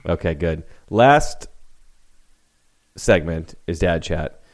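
A man with a very deep voice hosts a loose, casual podcast conversation. He jokes, laughs often and drops the odd swear word in easy chat. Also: expressive, takes it slowly. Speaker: podcast host.

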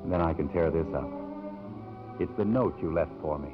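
An actor in a 1950s radio drama, playing a gentle, earnest middle-aged college president, soft and sincere. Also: male voice, a bass voice, moderate pace. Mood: romantic. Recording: muffled old radio recording, background music.

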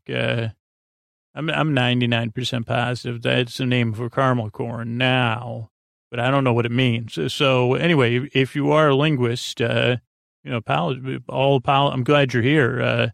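A man with a creaky voice hosts a bedtime podcast, meandering haltingly in a lulling, self-deprecating monologue. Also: medium pitch, no room sound, studio quality. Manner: lulling, soothing. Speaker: podcast host.

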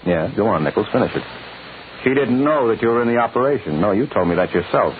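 Casting: man playing sardonic tough private eye, lively intonation, old radio drama, narrow tinny sound. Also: lowish voice, fast talker, close to an everyday voice. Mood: sardonic, accusing. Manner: sardonic, clipped wisecracking. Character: wry, tough male private detective in a radio mystery. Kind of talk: 1940s radio acting. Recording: tinny, hissy old-time radio recording.

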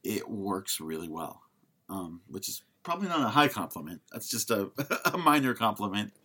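A man with a slightly low voice co-hosts a casual film podcast. He speaks informally and hesitantly, working out his opinions as he talks. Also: very animated, normal speed, pushed and bright, breathy edge. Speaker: podcast co-host.

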